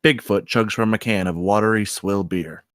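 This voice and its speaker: A man's voice: lowish voice, normal speed, a bit bright, clean tone, no room sound. Man narrating a screenplay, dry sound. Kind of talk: reading screenplay stage directions aloud.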